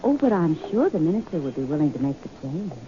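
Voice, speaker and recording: A playful sweetheart in an old radio detective play. Her voice is animated and coy, heard through a muffled, lightly noisy 1940s recording. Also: deep voice, fast talker. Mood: coy, teasing. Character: playful, teasing sweetheart of detective hero, woman. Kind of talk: 1940s radio drama acting.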